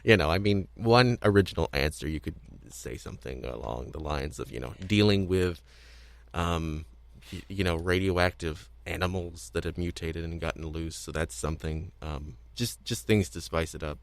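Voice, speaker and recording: Low-voiced rambling male podcast host. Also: moderate pace, vocal fry, no echo. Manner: rambling, hesitant, repeats himself. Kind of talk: casual podcast chat.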